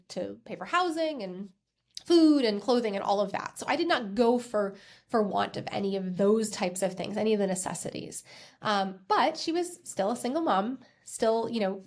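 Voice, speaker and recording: Candid American woman in her thirties. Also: fairly high pitch. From United States. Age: 30s. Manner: conversational, self-reflective, emphatic repetitions. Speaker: podcast host and accountant. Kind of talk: candid personal storytelling on a podcast.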